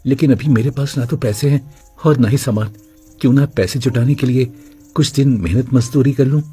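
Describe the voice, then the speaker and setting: Young poor vendor, acted by a man, husky, humble, gentle, storybook drama, faint music behind. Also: medium pitch, moderate pace. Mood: thoughtful.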